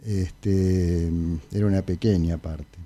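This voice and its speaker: A middle-aged man with an Argentine Spanish accent, an interview guest telling anecdotes casually in a halting, informal way. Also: deep voice, fairly even intonation, mellow, slightly rough. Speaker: interview guest, I Ching consultant.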